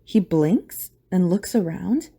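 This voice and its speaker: Mellow-voiced woman narrating a drama. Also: lowish voice, lively intonation, slow pace, slightly rough.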